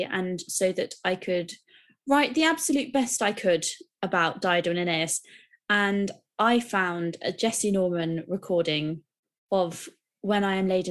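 A young woman, co-hosting a podcast, talking enthusiastically and rambling with restarts. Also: medium pitch. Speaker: podcast co-host. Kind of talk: casual chat.

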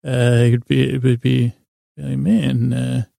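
Middle-aged American male bedtime host: dark, relaxed, heavy vocal fry, lulling delivery, meandering and self-deprecating. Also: medium pitch, a little slow, no echo, clean studio sound. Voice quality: lulling, soothing tone. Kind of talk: rambling soothing sleep-podcast monologue.

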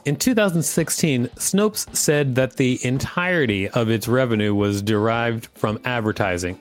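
A man with a mid-range voice co-hosts a podcast. He moves between reading written material and casual commentary. Faint music plays behind him. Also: medium pitch. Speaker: podcast co-host.

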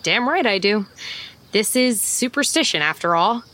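A woman's voice: mid-range voice, lively intonation, moderate pace, bright, forceful tone. A woman playing a flirty, wisecracking small-town private eye in an audio drama, with a teasing, bantering manner. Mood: intrigued, teasing.

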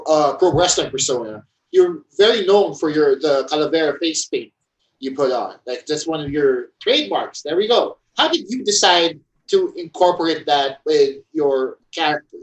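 Casual male co-host with a slightly high voice, recorded distant and echoey. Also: animated, moderate pace. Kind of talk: podcast interview. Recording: roomy, distant mic.

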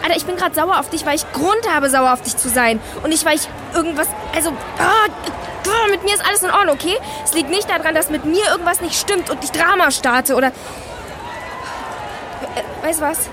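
Young irritable female protester, high voice, blunt colloquial delivery, audio drama, noisy outdoor setting. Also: a little fast, a crowd in the background. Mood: angry, upset. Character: rattled, irritable young climate activist woman. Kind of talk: naturalistic audio drama acting.

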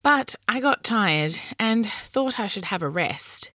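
A woman voicing a small young male unicorn in a storybook, with a high childlike voice. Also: expressive, takes it slowly, pushed and bright, no room sound, full character voice. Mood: earnest.

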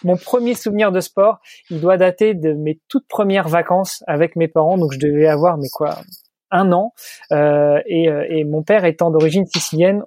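A man's voice: a little high, dark tone, dry recording. A man from Normandy chatting as a podcast guest, talkative and full of hesitations. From Normandy, France. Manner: chatty, many fillers and restarts. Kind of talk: casual conversation.

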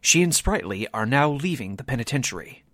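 A man's voice: medium pitch, very animated, a little fast, heavy background noise. A man narrating a scripted drama as an old-time radio announcer.

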